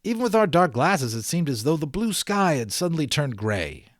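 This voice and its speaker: A man reads a children's novel aloud with plenty of expression. His voice sits a little high and moves up and down freely. The sound is dry, with no room around it. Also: very animated, moderate pace, no echo. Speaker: amateur audiobook reader.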